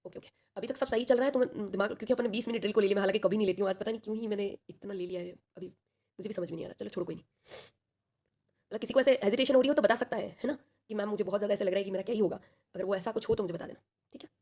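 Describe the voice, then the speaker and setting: A woman teacher talks very fast in a husky, slightly breathy voice, sore-throated and chatty. She reassures her students and keeps checking in with them over a video call. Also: mid-range voice, darkish tone. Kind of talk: online class coaching students for an exam.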